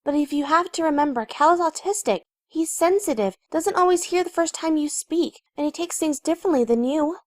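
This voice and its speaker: A woman voicing a sensible, caring young female pony with an earnest, gently firm manner in a cartoon audio drama. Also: high voice, brisk, hardly any pauses, no echo, studio quality, natural voice. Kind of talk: animated fan audio drama.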